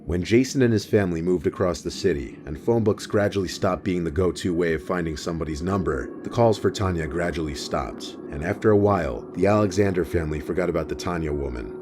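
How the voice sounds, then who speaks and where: A man reading a scripted documentary narration in a steady, even storytelling manner. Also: low voice, a little fast, few pauses, noisy background. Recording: voice-over with clear music behind.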